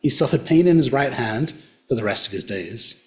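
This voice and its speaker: A middle-aged Australian man speaks to a gathered audience in a hotel function room. He retells old folk tales in a measured, unhurried storyteller's manner, at a pitch typical for a man. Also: animated. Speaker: local history presenter. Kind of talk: public talk retelling folklore.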